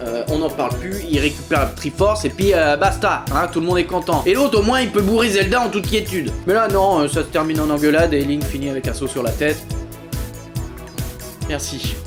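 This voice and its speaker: High-voiced, sarcastic, exasperated man reviewing. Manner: exasperated, crude, slangy, mocking. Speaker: video reviewer and host. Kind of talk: sarcastic cartoon review commentary. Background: faint music.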